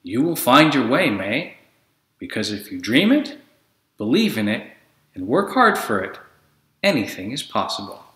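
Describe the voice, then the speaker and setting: A man's voice: mid-range voice, big pitch swings, a little slow, pushed and bright. Man reading as a soothing, reassuring father. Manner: soothing, encouraging. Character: kind, reassuring father of a little girl. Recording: echoey room.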